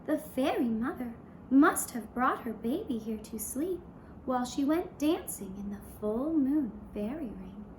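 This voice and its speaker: A woman narrating a fairy tale for a puppet show in a gentle, hushed, deliberate storytelling manner. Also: high voice, animated, roomy sound, slight background noise, poor audio. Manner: slow, hushed, deliberate storytelling in short phrases.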